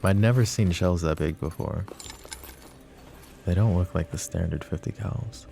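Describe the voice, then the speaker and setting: A very low, gravelly voice for a brooding mafia boss, acted by a man. He is possessive and sardonic yet protective, speaking intimately and darkly as in an ASMR audio roleplay, with faint music underneath. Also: unhurried, dark tone, full character voice. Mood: baffled. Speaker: voice actor. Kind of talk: boyfriend ASMR roleplay acting.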